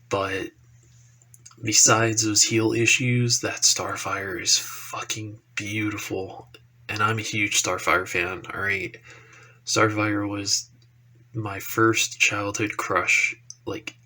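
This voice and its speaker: Slow, congested young man with a bright tone. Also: lowish voice. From Texas. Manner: relaxed rambling with frequent ums and profanity. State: congested from allergies. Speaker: action figure YouTuber. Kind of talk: casual solo podcast talk.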